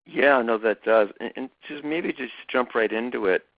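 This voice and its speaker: A man hosting a conversation as foundation chairman, speaking in a measured, hesitant way with many fillers. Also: mid-range voice, no echo. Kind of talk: conversational webinar talk. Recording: dull lo-fi dry recording.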